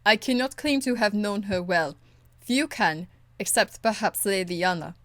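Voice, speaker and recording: A woman's voice: medium pitch, normal speed, a bit bright, no echo, natural voice. Woman playing a stern, blunt warrior woman. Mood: stern, earnest. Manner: curt, earnest, formal. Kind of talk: video game dialogue acting.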